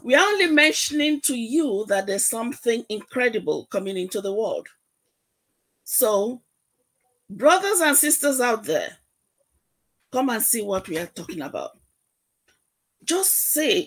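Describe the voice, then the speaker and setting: A woman guest on a video call, earnestly urging listeners, repeating herself and pausing to search for words. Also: on the high side, very animated, a bit bright. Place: home room. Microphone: webcam on video call.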